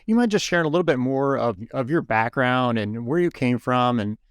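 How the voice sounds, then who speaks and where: A man's voice: medium pitch, animated, brisk, clean tone, dry recording, studio quality. A man hosting a podcast in an enthusiastic, upbeat way, both chatting and reading promos. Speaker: podcast host.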